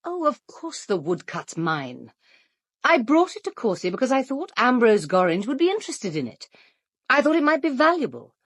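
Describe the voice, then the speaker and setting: An actress playing a haughty, defensive, sharp-tongued suspect in a radio play, with an arch, prickly manner. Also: female voice, on the high side, big pitch swings, normal speed, breathy edge, no echo, clean studio sound, full character voice.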